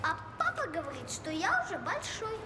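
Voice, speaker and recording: A woman voicing a small, stubborn, cheeky little boy in an animated film, childish and insistent. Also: extremely high pitch, normal speed, noisy background, exaggerated voice.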